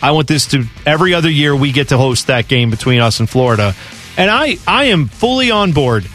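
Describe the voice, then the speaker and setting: A man's voice: a little high, sing-song, brisk, a bit bright, faint music behind. A man co-hosting a college football talk show, reading news items and riffing in a casual way. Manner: conversational, reads news items then riffs. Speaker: sports talk co-host.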